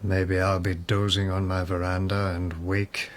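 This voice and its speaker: A man's voice: low-pitched, flat delivery, unhurried. An actor in a radio drama playing a mad, doomed nobleman in a rambling, wry, reflective monologue. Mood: wry, resigned. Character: mad, doomed nobleman musing on his death.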